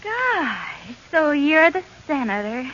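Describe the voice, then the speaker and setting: Brassy flirtatious moll, high voice, very animated, slangy, cooing, 1940s radio crime drama. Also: female voice, slow pace, heavily acted. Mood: flirtatious flattery. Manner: slangy, cooing, uneducated speech. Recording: hissy muffled old radio recording.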